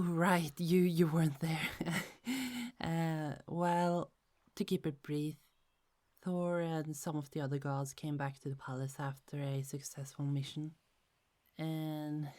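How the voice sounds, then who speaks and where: Woman acting gentle young goddess, soft half-whisper, low mellow voice, slow, giggly. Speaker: ASMR roleplay actor. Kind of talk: ASMR roleplay.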